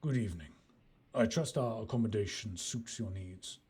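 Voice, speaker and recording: A man's voice: lowish voice, moderate pace, darkish tone, husky. A man playing a roguish, mercenary skyship captain with wry, courteous, old-fashioned speech in an immersive audio roleplay. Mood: genial, courteous. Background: ambient sound effects.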